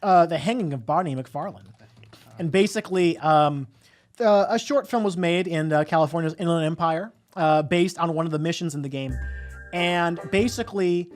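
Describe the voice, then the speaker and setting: A woman's voice: fairly low pitch, normal speed, a little mellow, soft background music. A woman co-hosting a live podcast, chatting casually about films in a rambling way with emphatic agreement. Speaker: podcast co-host.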